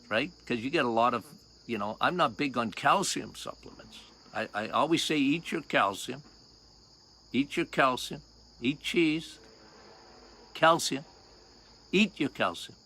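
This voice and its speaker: An older man with a loud voice, a doctor hosting a health podcast outdoors, talking casually and emphatically, repeating key points and quizzing his listeners. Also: mid-range voice, expressive, measured pace. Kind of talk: casual health talk monologue.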